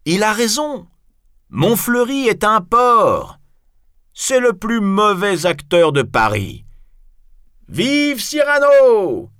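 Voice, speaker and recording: A man voicing rowdy, excitable theatre spectators, loud and declamatory, in a storybook. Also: high-pitched, takes it slowly, clear, resonant tone, dry recording, clean studio sound, voice pushed up, full character voice. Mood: heckling.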